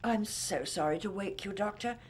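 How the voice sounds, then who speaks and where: Woman playing flustered housekeeper, polite but fussy, mid-pitched, period drama, slight noise behind. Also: moderate pace, close to an everyday voice. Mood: apologetic. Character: respectful, flustered Victorian housekeeper, easily put out. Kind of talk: period mystery drama acting.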